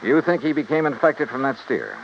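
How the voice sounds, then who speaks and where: A man playing a tough, brisk insurance investigator in a 1950s radio detective drama, clipped and probing. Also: medium pitch, wildly swooping pitch, gravelly, a little hiss or hum. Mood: probing, businesslike. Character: tough, brisk male insurance investigator narrating his case. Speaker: detective lead and narrator. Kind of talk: 1950s radio detective acting, hardboiled narration. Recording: muffled old radio broadcast with music.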